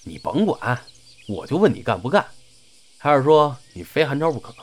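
A man's voice: medium pitch, very animated, normal speed, lightly acted. Curt, bossy troupe senior played by a man. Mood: curt, impatient. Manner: curt, commanding. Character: bossy, blunt male senior of a comedy troupe. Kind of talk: audio drama acting.

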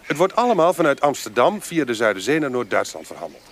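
An actor playing a wheedling, self-important rogue trader in a period audio drama, chatty and breezy. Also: male voice, medium pitch, big pitch swings, fast, a little hiss or hum. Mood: scheming.

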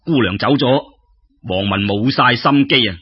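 A man telling folk tales as an expressive storyteller, in a conversational way that addresses listeners directly. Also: medium pitch, moderate pace, breathy edge, muffled recording.

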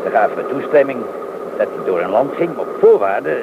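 A man's voice: mid-range voice, very animated, a little fast, vocal fry. A man playing a chatty, curious, folksy train guard in a Dutch radio play, rambling and gossipy. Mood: explaining. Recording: noisy, tinny old radio play recording.

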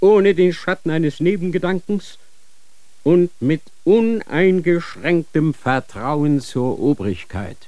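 A fanatical inquisitor in a radio play, preaching to his son about power and fear. He speaks slowly, with a voice that rises and falls widely, solemn and insistent. The sound is close and dry, like a studio. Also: a little high, animated, a little mellow, dry recording. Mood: commanding. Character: stern fanatical male church inquisitor, a father. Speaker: inquisitor father. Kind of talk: radio drama acting.

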